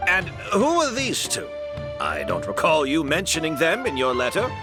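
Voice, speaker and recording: A man's voice: fairly high pitch, huge pitch swings, moderate pace. An actor playing a kindly, humble older male perfumer and mentor in a video game, modest and thoughtful. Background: game background music.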